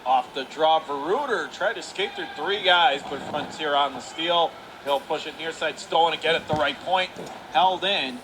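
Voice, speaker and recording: A man's voice: on the high side, normal speed. An American man doing live radio play-by-play commentary of a hockey game. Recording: noisy arena ambience behind voice. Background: ice rink noise.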